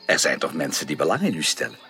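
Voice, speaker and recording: An actor playing an earnest, caring and direct gentleman suitor in a radio drama, speaking formally and emphatically with probing, insistent questions. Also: very deep voice, big pitch swings, quick pace, soft background music, exaggerated voice. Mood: concerned, probing. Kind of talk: 1980s Dutch radio drama acting.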